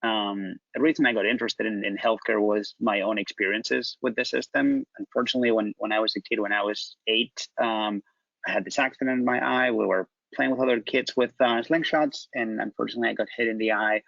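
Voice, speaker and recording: Panelist, male, Spanish accent, gravelly, dry dull call audio. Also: fairly low pitch, steady tone, a bit bright, dry recording. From Spain. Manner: reflective, halting with repeated restarts. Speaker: company founder, panelist. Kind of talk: panel discussion.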